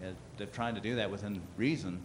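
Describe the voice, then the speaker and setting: A man's voice: fairly low pitch, steady tone, brisk, noisy background. A man making a blunt, frustrated public comment at a community meeting.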